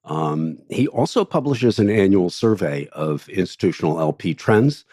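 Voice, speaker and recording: A man's voice: sits low, lively intonation, normal speed, warm tone, no room sound, studio quality. A man hosting an interview in a casual, informal way, thinking aloud as he goes. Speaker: interview host.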